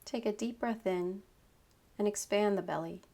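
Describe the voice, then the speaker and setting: Woman, meditation guide, creaky vocal fry, calm, unhurried, short instructive phrases, mid-range pitch. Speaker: yoga nidra meditation guide.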